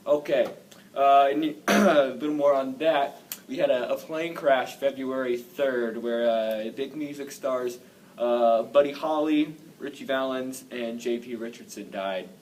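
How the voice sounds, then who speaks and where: A man's voice: mid-range voice, unhurried, darkish tone. A man anchoring an amateur newscast in a casual, hesitant way, adding laid-back offhand asides to the items he reads. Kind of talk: casual, loosely scripted news presenting. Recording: distant mic, faint background noise.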